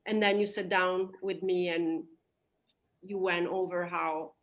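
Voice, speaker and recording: A woman whose first language is Italian, a real estate agent answering interview questions in a chatty way, with fillers and quick laughs. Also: on the low side, steady tone, rough recording.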